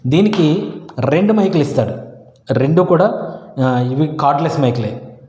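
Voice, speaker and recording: A man's voice: fairly high pitch, expressive, normal speed, mellow. A man gives an informal product presentation in a devout, courteous, explanatory way, often repeating his phrases. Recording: echoey room with long reverb.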